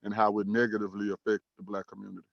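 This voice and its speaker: A man in his thirties from Dallas, Texas, hosting an online talk show in a measured, earnest way, with frequent "um" and "uh" fillers. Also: lowish voice, little pitch movement, gravelly, no room sound, highs cut off. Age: 30s. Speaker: host.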